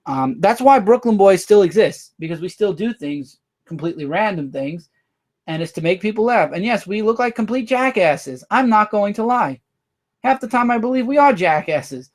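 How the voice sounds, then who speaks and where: A man hosting a casual livestream, rambling through viewer comments with quick asides. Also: high voice, lively intonation. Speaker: livestream host.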